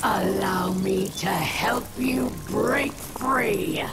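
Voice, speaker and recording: An actress playing an imperious alien warlord villainess in a video game, mocking and menacing, with a gravelly, taunting delivery. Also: female voice, mid-range voice. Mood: gloating menace. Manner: slow, taunting, commanding. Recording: voice under loud music.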